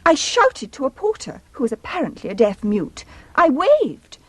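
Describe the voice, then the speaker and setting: An actress playing a distressed, indignant young English wife, speaking with well-bred, plaintive drama in a radio farce. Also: female voice, high voice, wildly swooping pitch, moderate pace, dry recording. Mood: aggrieved, dramatic. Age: young adult. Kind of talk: stage farce acting for radio.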